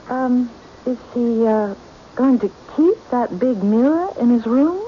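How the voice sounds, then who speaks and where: A woman's voice: fairly high pitch, takes it slowly. An actress playing a protective, suspicious middle-aged mother who works as a cook and scolds in an old radio drama. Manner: scolding, hesitant when broaching delicate warnings. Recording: dull, noisy vintage radio drama recording.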